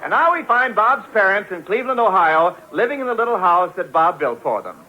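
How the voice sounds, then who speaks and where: A man's voice: sits high, very animated, brisk, crowd noise. A man announcing and narrating from a script in the polished, formal style of 1940s radio. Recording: muffled 1940s radio broadcast.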